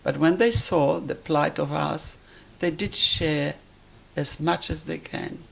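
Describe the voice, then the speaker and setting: An elderly woman from Poland with a Polish accent, recalling her life in a halting, deliberate way as she is interviewed. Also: deep voice, vocal fry, a bit bright, rough recording. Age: 80s or older. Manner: halting, deliberate, searching for words. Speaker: interviewee, life-story witness. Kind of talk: personal reminiscence in an interview.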